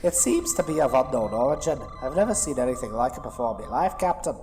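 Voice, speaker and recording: A man acts as a strict, careful starship chief in a sci-fi audio drama. He has a mid-pitched voice and a clipped, precise way of talking. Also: big pitch swings, fast, music in the background, natural voice. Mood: puzzled. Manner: curt, disapproving, precise.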